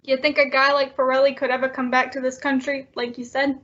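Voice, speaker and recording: A woman's voice: high-pitched, flat delivery, a little fast, a bit bright. A woman playing a simple, loyal male sidekick with a hesitant, slangy, deferential manner in an old-time radio drama. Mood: earnest. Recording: dull, muffled lo-fi audio.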